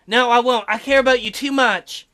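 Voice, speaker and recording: A man's voice: very high voice, steady tone, moderate pace, pushed and bright, voice pushed up. A man voicing a young woman archaeologist who talks back insistently and defiantly.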